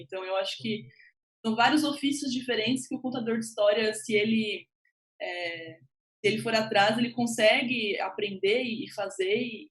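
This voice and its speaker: A woman with a Brazilian accent speaking as a guest writer on a panel, telling her story informally and acting out remembered remarks in an animated way. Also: fairly high pitch, low-quality recording. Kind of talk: conversational personal account on a panel.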